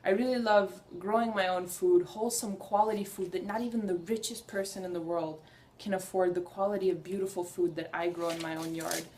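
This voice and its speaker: A woman's voice: lowish voice, steady tone, a little fast, darkish tone, a little hiss or hum. Earnest, enthusiastic young woman vlogger. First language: Hungarian. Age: young adult. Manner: earnest, enthusiastic, rambling with restarts. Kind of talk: casual personal vlog.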